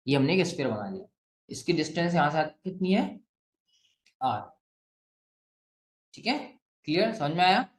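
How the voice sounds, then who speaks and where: A man with an Indian accent teaching step by step as a physics teacher, asking and answering his own questions and slowly dictating what to write. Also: on the high side. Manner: asks and answers own questions, frequent check-ins; slowly dictates written sentences. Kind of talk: step-by-step classroom lesson mixing Hindi and English. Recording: echoey room.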